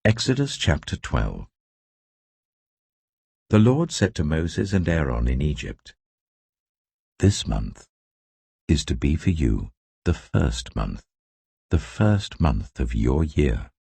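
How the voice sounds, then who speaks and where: A man giving a scripted, solemn and deliberate reading of scripture as a narrator, with emphatic phrasing. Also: a bass voice, big pitch swings, creaky, a little mellow, husky, no echo. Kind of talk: scripted audio Bible reading.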